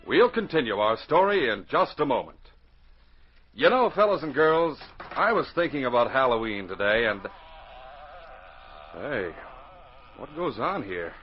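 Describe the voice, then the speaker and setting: An actor as a hearty, folksy announcer and narrator in 1940s radio style, addressing young listeners. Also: male voice, medium pitch, big pitch swings, a little slow, long pauses. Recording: muffled, lo-fi 1940s radio recording.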